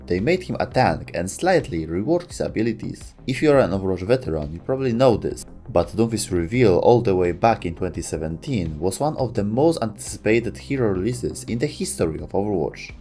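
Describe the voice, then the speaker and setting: A man's voice: lowish voice, dark tone. A man hosting a video, reading scripted narration about game lore, with some wry delivery.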